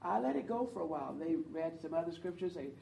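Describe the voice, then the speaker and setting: A woman with a low voice teaches a group about leading worship, earnest and encouraging as she draws her listeners in. She is heard from across the room, the sound roomy and carrying a faint hum of ambience. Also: animated, quick pace, vocal fry, warm tone, recorded from a distance. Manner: earnest, encouraging, speaks to the audience and invites replies. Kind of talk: church teaching talk.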